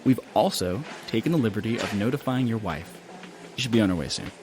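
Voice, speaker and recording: An officious hospital administrator. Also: male voice, on the low side, lively intonation, background noise. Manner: officious, hurried, awkward. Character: male hospital administrator, bureaucratic. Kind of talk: audio drama acting.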